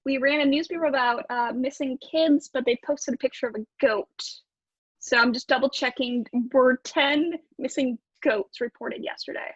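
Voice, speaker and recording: A woman acting a local reporter in a comic radio play. She is high-voiced, flustered and apologetic, stammering on a dull, close recording. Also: normal speed, slightly rough, no room sound.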